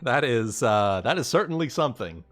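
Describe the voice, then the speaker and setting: A man's voice: mid-range voice, lively intonation, normal speed, fairly bright tone. A man chatting casually with a group on a livestream, swearing freely. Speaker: game master. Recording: music playing behind the voice. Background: music.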